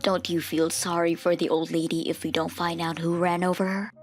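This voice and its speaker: A woman voicing a worried, gently pleading mother in a manga dub. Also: on the low side, level tone, fairly quick, soft background music, close to an everyday voice.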